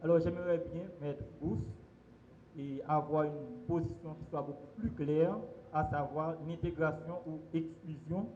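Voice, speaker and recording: A man's voice: fairly high pitch, fairly even intonation, a little slow, dark tone, a little hiss or hum. A man in the audience asking a formal, slightly hesitant question at a legal conference. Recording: muffled, echoey hall.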